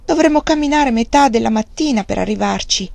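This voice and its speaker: A woman reading the part of a quiet, patient young peasant wife who speaks calmly and evenly. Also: on the high side, creaky, no echo, voice pushed up. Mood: cautious. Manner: calm, measured.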